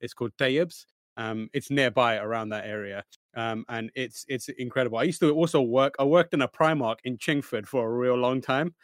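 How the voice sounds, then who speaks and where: A man's voice: mid-range voice, normal speed, dry recording, studio quality. Chatty East London man. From born in Pakistan, raised in East London. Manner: chatty, rambling, laughs often. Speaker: guest host. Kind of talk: casual chat.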